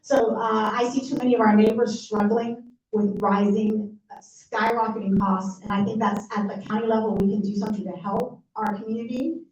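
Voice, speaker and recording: A woman's voice: mid-range voice, fairly even intonation, normal speed, a little mellow, slight background noise. A woman from Puerto Rico whose first language is Spanish, giving an earnest campaign speech and checking in with the crowd. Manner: earnest, appeals to the crowd with tag questions. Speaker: political candidate. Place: community meeting room. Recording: roomy, distant and dull room recording.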